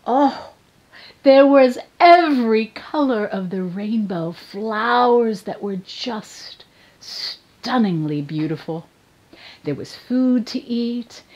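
Older female children's storyteller, playful and unhurried, high voice. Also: rough recording. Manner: playful, expressive, addressing children directly. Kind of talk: animated storytelling for young children. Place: indoors in front of a curtain, filmed for online program.

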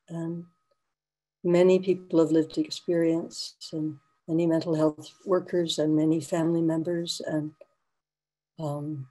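Mellow low-voiced woman hosting a call. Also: poor audio. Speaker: host. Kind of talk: moderating a video call. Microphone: video call.

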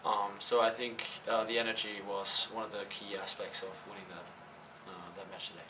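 A young man speaking in a lowish voice with a flat pitch, pausing and restarting often. He answers post-game questions, and he sounds a little distant, with faint room noise around him. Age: young adult. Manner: hesitant, many fillers and restarts. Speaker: college tennis player. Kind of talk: post-game press interview. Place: press backdrop room.

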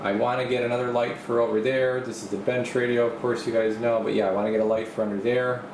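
A man's voice: medium pitch, quick pace. A man giving a casual, off-the-cuff workshop tour as a video host, rambling and thinking aloud, speaking from behind the camera. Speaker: video host, radio repair technician. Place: small indoor home workshop. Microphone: camera mic, speaker behind camera. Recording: roomy, steady air-conditioner-like hum.

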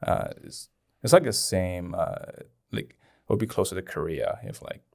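A man's voice: low-pitched, expressive, normal speed, vocal fry, darkish tone. A man in his twenties from China with a Chinese accent, telling his story casually as a podcast guest on a close studio mic. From Dalian, China. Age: 20s. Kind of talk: casual personal testimony in an interview. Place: small indoor podcast studio. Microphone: close boom-arm studio microphone.

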